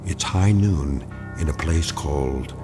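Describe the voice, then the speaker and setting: An American actor playing a solemn, ominous narrator of a radio drama, with deliberate phrasing. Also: male voice, a bass voice. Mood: grave, ominous. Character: grave, ominous omniscient storyteller of eerie war tales. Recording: long reverb, music underneath.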